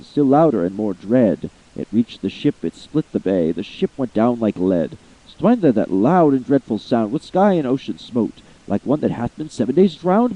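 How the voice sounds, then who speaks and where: A man's voice: mid-range voice, dark tone, muffled recording. A man giving a theatrical reading of an old narrative poem as voice-acting practice. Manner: theatrical, swooping delivery of verse. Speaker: amateur reader. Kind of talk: dramatic poetry reading.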